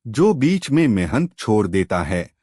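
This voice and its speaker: Guy doing a kind old village potter from a storybook, patient and wise, slow lowish voice. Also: big pitch swings, darkish tone, slightly rough, no room sound, clean studio sound, heavily acted. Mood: amused, wise.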